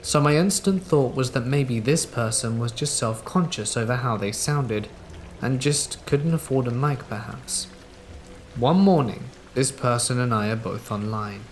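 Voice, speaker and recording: A man narrates true scary stories in an even, unhurried storytelling read. His voice sits at an ordinary middle pitch for a man. Faint rain patters in the background. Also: a little hiss or hum. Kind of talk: scripted narration of listener stories.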